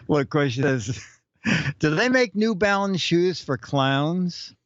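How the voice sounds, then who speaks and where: A man's voice: a little high, lively intonation, slow, a bit bright, no echo, highs cut off. A man hosting a casual live-stream chat, joking around and reading out viewer questions. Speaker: show host.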